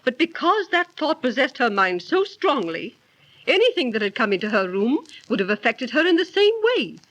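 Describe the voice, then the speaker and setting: A woman's voice: on the high side, very animated, normal speed, a bit bright, low-quality recording. A woman playing a patient, instructive aunt who tells stories in an emphatic, explanatory way in a 1930s radio drama. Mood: explanatory, earnest.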